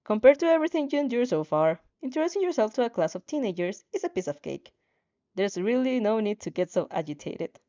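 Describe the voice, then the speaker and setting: A woman livestreaming in a soft voice, reading aloud and chatting in a rambling, self-interrupting way. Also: on the high side, big pitch swings, fairly quick, dry recording. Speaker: streamer, fanfiction reader. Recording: slightly muffled audio with music behind.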